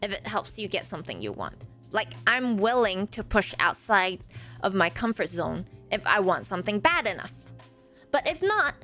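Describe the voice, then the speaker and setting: A woman in her forties who grew up in Malaysia, a streamer answering chat in a halting way with many fillers. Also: mid-range voice, sharp tone. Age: 40s. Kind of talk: live stream chat reading viewer comments.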